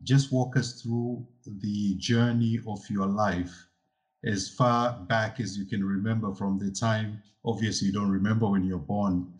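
A man with a somewhat low voice hosts an interview. He poses long questions slowly, breaking them up with frequent hesitation sounds. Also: fairly even intonation. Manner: slow, halting with frequent uh's. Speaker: host.

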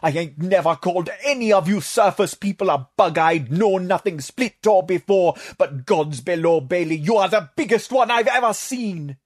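A man voicing a furious fish-like male creature who scolds bluntly in a high, strained voice. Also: moderate pace, few pauses.

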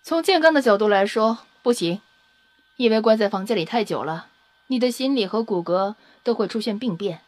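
A woman playing a confident, teasing scientist in an audio drama, brisk and matter-of-fact with a playful, self-satisfied manner. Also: medium pitch, animated, no echo, lightly acted. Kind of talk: Chinese audio drama acting.

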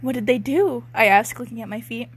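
A woman's voice: a little high, brisk, noisy background. A young woman reading amateur fiction aloud casually as co-host, breaking in with wry, giggly, sweary asides. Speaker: co-host and reader.

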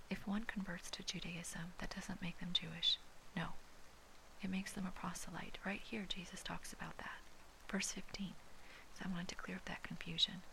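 A woman from Hawaii who whispers throughout, slow and hushed, as she reads Scripture aloud and then comments on it. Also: on the low side, vocal fry, a little hiss or hum, rough recording. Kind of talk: whispered Bible reading and commentary.

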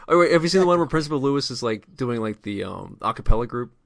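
A man co-hosting a podcast, talking as an excitable, enthusiastic fan in casual chat. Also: medium pitch, fast, creaky, no echo, clean studio sound. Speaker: podcast co-host.

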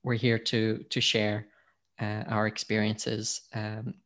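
A man's voice: on the low side, completely flat delivery, takes it slowly, fairly bright tone. A man from Portugal, a podcast host now being interviewed, talking casually with many fillers and restarts.

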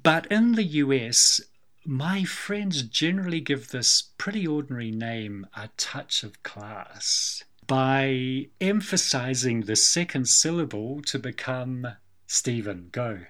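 A man's voice: mid-range voice, lively intonation, slow pace, fairly bright tone. Wry man with a Kiwi accent. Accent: New Zealand. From Scotland. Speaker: podcast co-host. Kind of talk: casual film discussion.

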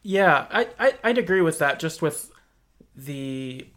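A man hosts a relaxed discussion of video games. His voice is somewhat higher than average for a man, and he explains things conversationally, with some hesitation and self-deprecation. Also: slow. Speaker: podcast host. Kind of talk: casual video game discussion.

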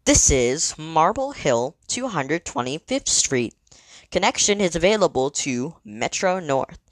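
Low-voiced woman making measured train announcements. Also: very animated, no echo. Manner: measured, clearly segmented, formal. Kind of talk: scripted transit announcements.

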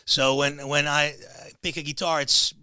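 A man with a raspy voice interviewing a guest, agreeable and hesitant in phrasing. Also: fairly high pitch, flat delivery, moderate pace, pushed and bright, no room sound. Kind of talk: casual interview chat.

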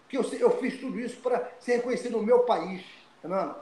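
An older man from Brazil talks as an interview guest in a voice pitched high for a man. He is animated, repeats words and restarts his sentences. The sound carries an echo from the room. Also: quick pace. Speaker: guest, retired fighter. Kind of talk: storytelling interview.

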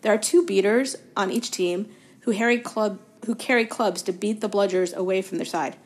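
A woman's voice: medium pitch, normal speed, lightly acted. A woman voicing a tired teenage boy wizard who explains things in a weary, resigned way.

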